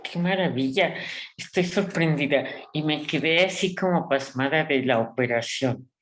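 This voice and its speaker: A woman's voice: deep voice, moderate pace. A woman hosting an interview, reacting with short, enthusiastic interjections. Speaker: host.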